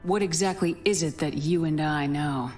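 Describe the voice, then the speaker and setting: A tough, resolute female commander played by an actress in a sci-fi game. She has a low voice and a steady, direct delivery, over faint music. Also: normal speed, lightly acted. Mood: blunt. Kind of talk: video game cutscene acting.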